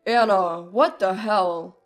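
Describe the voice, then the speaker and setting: Woman doing a curt, domineering husband, pitched down. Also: unhurried, faint music behind, lightly acted. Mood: angry, scolding. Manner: curt, scolding, condescending. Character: domineering, dismissive, selfish husband, adult man. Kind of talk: acted dialogue in a narrated family drama story.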